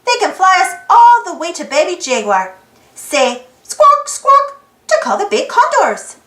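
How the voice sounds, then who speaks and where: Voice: extremely high pitch, big pitch swings, a little slow, sharp tone, reverberant. A storybook reader with an exclaiming manner, reading aloud for children and prompting young listeners with questions. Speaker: storybook reader and channel host.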